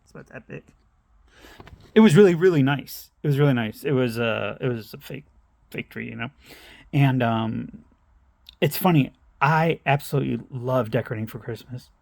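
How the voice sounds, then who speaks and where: Gravelly middle-aged man, rambling storyteller. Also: mid-range voice, moderate pace, darkish tone, no echo. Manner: meandering, self-interrupting, laughs at himself. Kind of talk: rambling personal anecdote.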